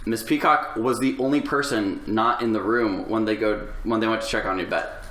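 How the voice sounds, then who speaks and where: A man's voice: fairly low pitch, steady tone, normal speed, fairly bright tone. Casual man chatting in an echoey room. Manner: informal, sweary, talks in loose run-ons. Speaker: podcast panelist. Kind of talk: casual film discussion among friends.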